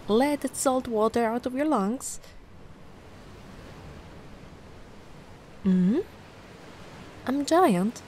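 A woman from Italy voicing a cute, kindly, anxious giant mermaid in an ASMR roleplay, flustered and softly cooing. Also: on the high side, lively intonation, takes it slowly, slight background noise. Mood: soothing, relieved.

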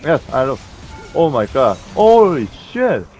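Lively male gamer with swooping pitch. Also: slow. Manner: short, repeated exclamations in clipped English. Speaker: gaming duo partner. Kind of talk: voice chat during a game. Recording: music behind the voice.

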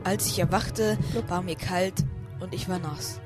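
A man's voice: high-pitched, fairly even intonation, measured pace. A man narrating a parody audio drama in the first person, as scripted storytelling. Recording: music under the voice.

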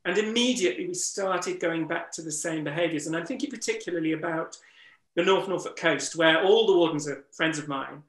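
A man's voice: a little high, fairly quick, a bit bright. A man hosting a webinar conversation, earnest and reflective, his voice strained from disuse. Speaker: interviewer and wildlife trust ambassador. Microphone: video call. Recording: roomy echo.

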